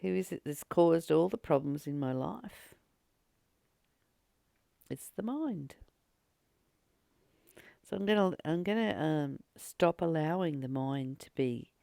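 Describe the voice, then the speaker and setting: Low-voiced woman, gravelly and slow. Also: dark tone, no echo, studio quality. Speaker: spiritual teacher and channel host. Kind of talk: spiritual book study, reading aloud with reflective commentary.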